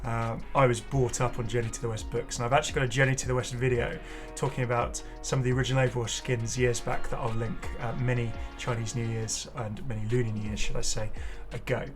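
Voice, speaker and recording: A man hosting a casual game showcase, talking in an enthusiastic, rambling way with halting explanations. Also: medium pitch, little pitch movement. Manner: enthusiastic, effusive. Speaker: gaming video creator and host. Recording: music playing behind the voice. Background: clear background music.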